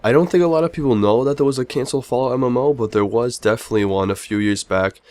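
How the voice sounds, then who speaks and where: A man's voice: medium pitch, fast, darkish tone, dry recording. A man hosting a gaming video in a casual narration, sounding a little sick. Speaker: video host.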